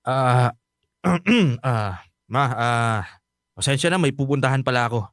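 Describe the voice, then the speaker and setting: Bitter grown son, mid-pitched, curt and sarcastic, dry radio drama sound with faint music. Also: male voice, expressive, unhurried, a bit bright, no room sound. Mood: evasive, irritated. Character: bitter, resentful young adult son, curt and stubborn.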